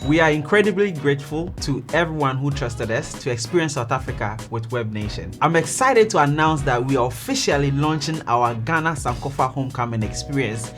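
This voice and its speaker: An upbeat channel host reads a scripted announcement to viewers. The voice sits at a middle pitch, and soft music plays behind it. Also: lively intonation, brisk, few pauses. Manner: upbeat, grateful.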